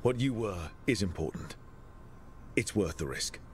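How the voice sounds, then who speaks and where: Low, steady male fantasy hero. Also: a little hiss or hum. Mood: reassuring. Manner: calm, measured, supportive. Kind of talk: dramatic video game dialogue.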